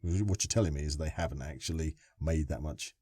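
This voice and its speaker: A man's voice: rumbling low, fairly quick, mellow, husky, no room sound, clean studio sound. A man hosting a casual podcast interview, asking long, winding questions with many restarts. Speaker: podcast host.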